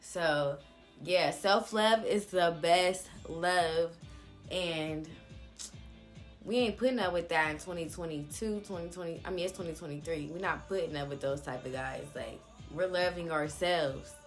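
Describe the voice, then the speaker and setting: A young woman with a slightly low-pitched voice talks in a casual, confessional vlog style. Her delivery is candid, blunt and slangy, as if telling a story straight to her followers. Music plays behind her voice. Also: a little slow. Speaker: vlogger telling a personal story.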